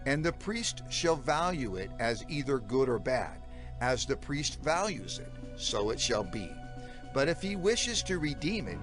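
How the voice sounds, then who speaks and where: An actor playing a stern, authoritative ancient lawgiver, reading scripture in a measured, emphatic and formal manner. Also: male voice, medium pitch. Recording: prominent music under the voice.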